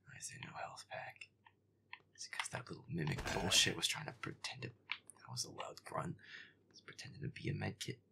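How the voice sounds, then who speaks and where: A man mutters his way through a video game in an irritable, foul-mouthed commentary. His voice is breathy and often drops to a whisper, with a gravelly creak. His pitch moves around freely as he grumbles. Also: lively intonation, normal speed. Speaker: gamer playing a horror game in character. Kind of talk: gameplay commentary, muttered roleplay audio.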